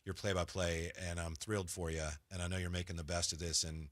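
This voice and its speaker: A man's voice: sits low, little pitch movement, quick pace, fairly bright tone, dry recording. A man hosting a casual sports radio interview, chatting conversationally.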